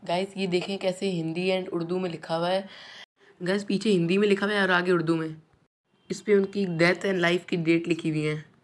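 A man's voice: high-pitched, little pitch movement, normal speed, a little hiss or hum. Male vlogger, casual tour-guide chatter, phone-camera audio in a marble crypt. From Pakistan. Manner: chatty tour guide, keeps addressing viewers as "guys". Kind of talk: casual vlog tour narration.

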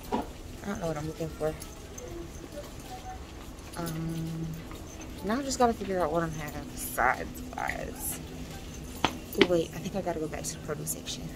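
A young adult American woman chatting casually to camera as she shops, informal and slangy, thinking out loud. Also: fairly low pitch, noisy background. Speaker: vlogger. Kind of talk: casual chat to camera while shopping. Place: indoors, large supermarket. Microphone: phone or camera at arm's length. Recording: music playing behind the voice. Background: loud music.

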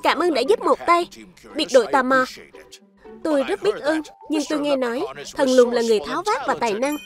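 A woman's voice: high-pitched, expressive, brisk. A woman voicing a polite, worried elderly male antiques collector in a cartoon dub. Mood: grateful, apprehensive. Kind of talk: cartoon dubbing. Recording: background music under the voice.

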